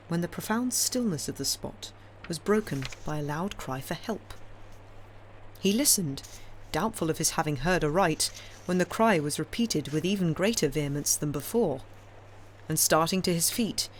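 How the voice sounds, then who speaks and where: A woman reading classic fiction aloud as an audiobook narrator, in a measured, expressive, dramatic storytelling style. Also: sits low, a little hiss or hum, rough recording. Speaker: audiobook reader.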